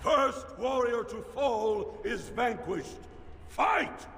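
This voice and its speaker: Dwarf arena master, fantasy game, formal and declamatory, higher-pitched for a man, long reverb with some noise. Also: expressive, very slow, a bit bright, exaggerated voice. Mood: grand announcing. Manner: deferential, declamatory. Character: formal, ceremonious male dwarf arena master announcing fights. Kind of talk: fantasy video game voice acting.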